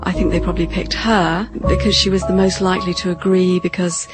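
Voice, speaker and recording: A woman speaking in a measured, matter-of-fact way in a reflective documentary interview. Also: lowish voice, little pitch movement. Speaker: interviewee, family member. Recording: loud music behind the voice.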